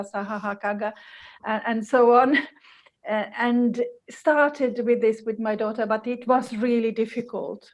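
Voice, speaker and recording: A middle-aged woman co-hosting a live video chat, talking with many restarts and hesitations. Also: on the high side. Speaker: co-host. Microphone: video call.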